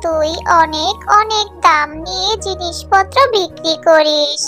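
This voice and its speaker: A woman's voice: very high voice, a little slow, bright, forceful tone, pitched above the performer's own voice, full character voice. A woman voicing an earnest, childlike little village girl in a children's cartoon story. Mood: menacing. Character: earnest little village girls, sweet-loving young sisters. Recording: music under the voice.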